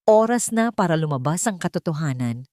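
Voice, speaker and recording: A woman's voice: deep voice, animated, brisk, warm tone, no echo, clean studio sound. A woman voicing a brave, defiant older market woman with a trembling delivery in a narrated drama.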